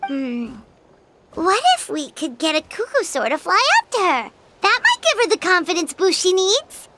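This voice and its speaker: A woman's voice: very high-pitched, big pitch swings, moderate pace, very noisy. A woman voicing a small childlike fairy companion in a video game, earnest, caring and easily startled. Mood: thoughtful, hopeful. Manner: speaks of herself in third person, earnest. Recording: music playing behind the voice.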